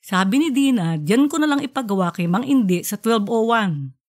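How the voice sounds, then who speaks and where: A woman's voice: a little high, animated, normal speed, a little mellow, lightly acted. A woman voicing a practical, opinionated wife and mother, chatty and matter-of-fact, in an audiobook story. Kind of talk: audiobook character dialogue.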